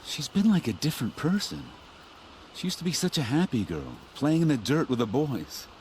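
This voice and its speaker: Anguished father for a video game: middle-aged, emphatic, halting, widely swinging intonation. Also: on the high side, big pitch swings, darkish tone, a little hiss or hum. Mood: wistful. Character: distraught middle-aged father searching for his missing daughter. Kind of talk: video game character acting.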